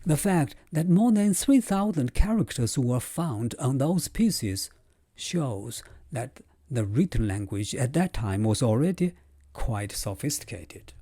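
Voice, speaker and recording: A male professor, explaining his subject in a measured, scripted way. His pitch moves a lot, lifting to stress key points, and his voice is warm and mellow. The recording is dry and close, with no room sound. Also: animated, slightly rough, no echo. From China. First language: Chinese. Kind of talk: scripted lecture.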